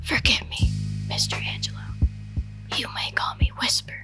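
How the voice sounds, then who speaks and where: A woman playing a coolly polite, menacing crime mastermind in a breathy whisper for a radio drama. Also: music in the background, lightly acted. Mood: sinister politeness. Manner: slow, mocking courtesy. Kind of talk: radio drama villain acting.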